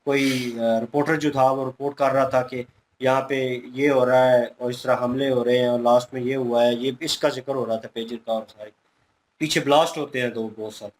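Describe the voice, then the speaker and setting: A man hosts a casual reaction chat in an average-pitched voice. He explains things conversationally, reaching for everyday examples. A little ambient noise sits behind him. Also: steady tone, a little fast, rough recording. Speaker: reaction video host.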